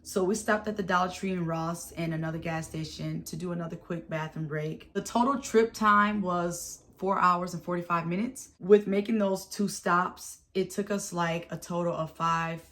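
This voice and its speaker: A woman in her thirties with a raspy voice from a head cold, casually telling a storytime vlog with animated retellings. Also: fairly low pitch, moderate pace, hardly any pauses. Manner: chatty, animated storytelling with acted-out quotes. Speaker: vlogger.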